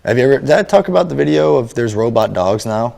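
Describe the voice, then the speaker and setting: Fast-talking, animated man trading wisecracks. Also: medium pitch, vocal fry. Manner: wisecracking, quick interjections. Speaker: podcast co-host. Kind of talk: casual banter.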